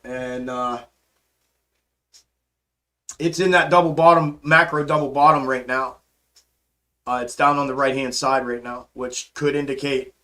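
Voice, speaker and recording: A hoarse man hosting a stock market livestream, casually walking through charts and answering chat, calling viewers bro and man. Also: mid-range voice, a bit bright. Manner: laid-back, calls viewers bro and man. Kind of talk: casual live chart commentary and chat replies.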